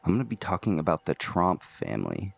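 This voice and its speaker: Gravelly, deep young man. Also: wildly swooping pitch, brisk. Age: 20s. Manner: laid-back, laughs often. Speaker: podcast co-host. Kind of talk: casual podcast chat.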